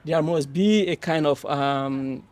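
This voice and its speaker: A young man from Mali on a panel, telling his story with many pauses and restarts. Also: on the high side. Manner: hesitant, many fillers and restarts, warm laughs. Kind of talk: panel discussion, personal account.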